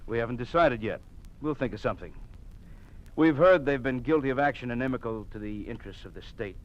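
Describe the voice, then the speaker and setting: An actor playing a cold, menacing regime enforcer in an old-time radio drama, curt, smug and threatening. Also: male voice, medium pitch, expressive, brisk, dull audio, natural voice. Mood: smugly threatening. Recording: faintly noisy old radio recording.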